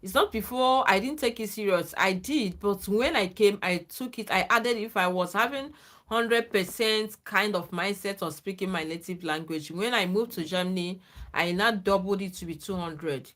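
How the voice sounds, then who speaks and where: A woman hosting a live stream speaks in earnest, casual advice to her viewers. She is not a native English speaker, with a voice of ordinary pitch for a woman, and often checks in with a questioning "okay?". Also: moderate pace, a bit bright. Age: adult, former lecturer. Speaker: livestream host.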